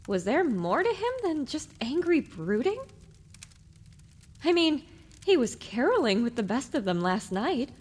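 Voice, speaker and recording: A woman's voice: sits high, heavily acted. A woman voicing a young, distractible mare with a wondering, rambling manner in an audiobook. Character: young, distractible mare, puzzled and self-questioning.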